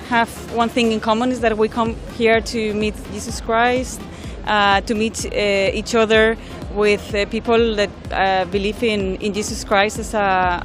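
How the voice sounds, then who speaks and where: Spanish-accented young woman, earnest, halting answers, music underneath. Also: medium pitch. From Spain. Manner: earnest, halting, with many fillers. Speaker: interviewee.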